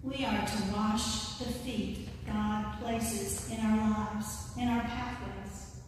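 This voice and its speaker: A middle-aged woman giving a sermon at a lectern in a small chapel, measured and earnest, with rhetorical questions. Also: medium pitch, fairly even intonation, noisy background. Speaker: lay preacher. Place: small chapel with high ceiling. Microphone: lectern mic from a distance. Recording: distant mic, echoey hall.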